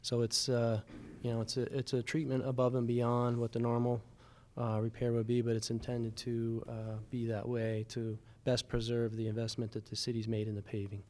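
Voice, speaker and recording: A man who works for the city explaining repairs at a public board meeting in a measured, matter-of-fact way with many fillers. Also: mid-range voice, completely flat delivery, darkish tone, slight background noise.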